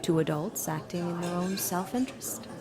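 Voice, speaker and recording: An actress playing a sly, amused demon villainess in an audio drama, smug and seductive. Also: female voice, lowish voice, steady tone, normal speed, crowd noise, lightly acted.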